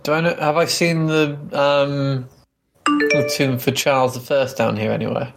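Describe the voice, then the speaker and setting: A man's voice: medium pitch, steady tone, unhurried, creaky, faint music behind. A man playing a tabletop role-playing game, chatting casually and informally as he thinks aloud.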